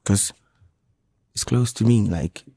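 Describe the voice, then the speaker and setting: Halting Ghanaian man, dark and dry. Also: fairly low pitch, gravelly, dry recording. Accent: Ghanaian English. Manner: defensive, halting, many restarts. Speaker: musician guest. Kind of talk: radio interview. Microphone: radio studio mic.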